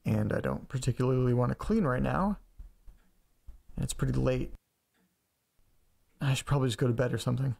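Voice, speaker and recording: A man's voice: mid-range voice, brisk, vocal fry, pauses often, a little mellow. A man acting as an anxious, chatty young man in an audio drama, rambling and hesitant in a casual, naturalistic way. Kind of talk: naturalistic first-person audio drama, talking to himself.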